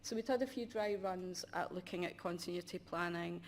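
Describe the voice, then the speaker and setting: A middle-aged woman giving a conference presentation as a support manager, in a self-described monotonous delivery with frequent ums. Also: on the low side, brisk. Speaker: conference speaker, university user support manager.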